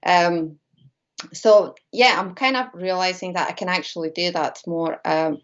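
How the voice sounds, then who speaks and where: Woman from Latvia, native Latvian speaker, lower voice, hesitant casual talk, muffled call audio. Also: measured pace. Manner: hesitant, many fillers and restarts. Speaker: guest medium and shamanic practitioner. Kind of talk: casual interview chat. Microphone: video call.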